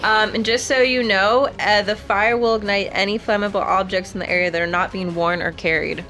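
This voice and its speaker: A woman's voice: mid-range voice, steady tone, a little fast, bright, forceful tone, slight background noise. A woman playing a tabletop fantasy game, casually describing her character's moves. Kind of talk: casual game play among friends.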